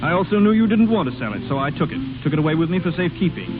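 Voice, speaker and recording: An actor playing a suave, self-assured young hotel owner with playful manner in 1930s radio style. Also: male voice, fairly high pitch, very animated, rapid-fire. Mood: breezy, teasing. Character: suave, breezy young hotel owner seeking lost love. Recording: tinny vintage radio broadcast, music behind.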